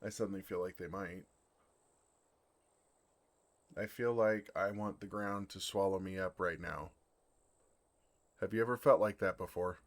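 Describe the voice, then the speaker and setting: Man acting a low-voiced, flustered, smitten dragon. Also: fairly even intonation. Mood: flustered, embarrassed. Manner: flustered, halting, earnest delivery. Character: bashful, smitten male dragon in human form. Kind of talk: ASMR romantic fantasy audio roleplay.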